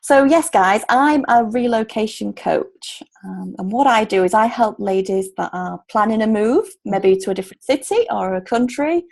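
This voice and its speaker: A woman's voice: mid-range voice, lively intonation, normal speed, gravelly, hardly any pauses, darkish tone. A woman in her thirties from Hull with a Yorkshire accent, chatting casually as an interview guest and laughing often. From Hull, Yorkshire, England. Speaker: guest, relocation coach.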